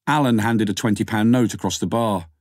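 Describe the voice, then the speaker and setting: A man's voice: fairly low pitch, fairly even intonation, a little fast, no echo, studio quality. A man reading scripted fiction as an audiobook narrator.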